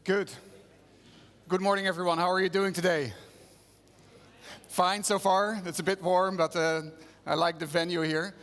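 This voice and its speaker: A man's voice: high voice, level tone, moderate pace, pauses often, fairly bright tone. A man from the Netherlands with a Dutch accent, giving a conversational conference talk and putting questions to the audience. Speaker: conference speaker. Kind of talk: conference keynote presentation. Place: conference venue stage. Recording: long reverb of a conference hall.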